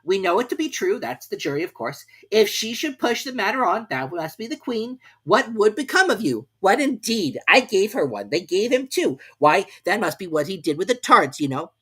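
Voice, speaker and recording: A man's voice: high-pitched, sing-song, normal speed, few pauses, pushed and bright, breathy edge, voice pushed up, heavily acted. A man voicing a fussy old king who mutters and reasons aloud over a trial in a storybook reading.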